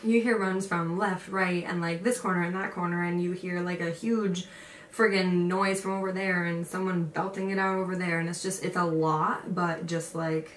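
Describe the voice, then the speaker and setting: A woman's voice: on the low side, steady tone, normal speed. Chatty young woman in an echoey room. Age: young adult. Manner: chatty, informal, emphatic. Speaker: vlogger. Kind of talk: casual storytelling to viewers with advice. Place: indoor room with a picture on the wall. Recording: roomy echo, distant mic, faint noise.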